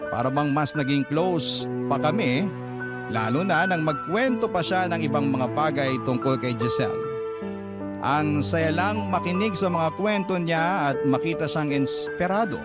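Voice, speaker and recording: Higher-voiced man storytelling over loud music. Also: animated, a little slow. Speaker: radio drama narrator reading a letter. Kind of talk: sentimental scripted first-person storytelling. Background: loud background music.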